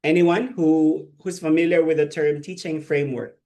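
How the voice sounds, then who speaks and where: Gravelly Filipino man teaching. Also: fairly high pitch, fairly even intonation, moderate pace, dark tone. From Philippines. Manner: conversational lecturing, asks trainees questions. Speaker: ESL teacher trainer. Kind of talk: live online training session.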